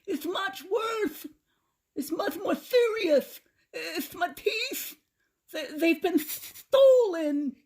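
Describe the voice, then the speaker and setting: A woman voicing an upset, fussy old grandfather without his teeth in a children's storybook, with drawn-out, funny speech. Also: extremely high pitch, expressive, darkish tone, voice pushed up, full character voice. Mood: distressed. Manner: drawn-out, mumbling, toothless-sounding speech. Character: distraught old grandfather who lost his false teeth.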